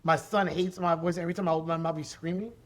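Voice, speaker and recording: A woman in her forties with a deep, raspy voice, telling her story as a podcast guest in an animated, emphatic way and repeating key phrases. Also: fast talker. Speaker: podcast guest, special education advocate. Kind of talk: conversational interview storytelling.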